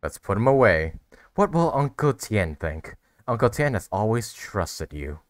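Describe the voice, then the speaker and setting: A man's voice: fairly low pitch, very animated, unhurried, no room sound. A man giving casual, blunt, sweary reactions as host of a gaming video, recorded close on a mic.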